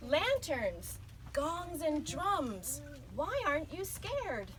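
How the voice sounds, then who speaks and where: A woman voicing an earnest, quick-witted young girl in a storybook reading. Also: sits high, very animated, pitched above the performer's own voice, heavily acted. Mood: bold, urgent. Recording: noisy live room.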